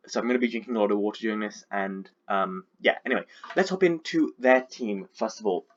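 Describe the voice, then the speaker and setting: A man's voice: on the low side, fast talker, lo-fi sound. Chatty sick man with sore throat. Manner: chatty, filler-heavy, rambling asides. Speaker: competitive Pokemon league coach. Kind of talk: casual team breakdown commentary.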